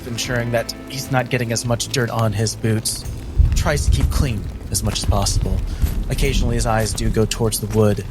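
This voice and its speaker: A man playing a tabletop game, improvising third-person narration of his character in a thoughtful, searching way. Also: on the low side.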